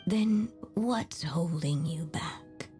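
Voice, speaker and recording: Slow, tender fading mother, over music. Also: fairly low pitch, mellow. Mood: tender farewell. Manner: tender, solemn, storybook-like delivery. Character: gentle, fading motherly woman writer, a magical echo. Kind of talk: video game voice acting. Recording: music behind the voice. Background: game music.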